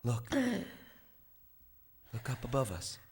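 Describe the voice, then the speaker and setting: An actor playing a wounded adult son gently comforting his frightened mother in a live radio drama, hushed and terse. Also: mid-range voice, slow, breathy edge. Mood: tender, weary. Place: theater stage before live audience. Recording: live stage recording with background noise.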